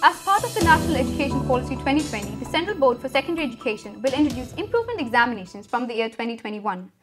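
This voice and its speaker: A young woman reads the news at a quick clip in a high, light voice. Her delivery is brisk and even, like a student anchor working through a script, with soft music playing underneath.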